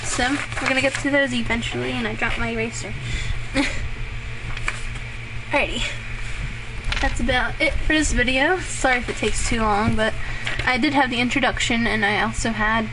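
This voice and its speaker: A young woman hosting a casual drawing tutorial, rambling and self-deprecating, with fillers and a voice that turns raspy at times. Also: fairly high pitch, steady tone, normal speed. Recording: clearly noisy home recording.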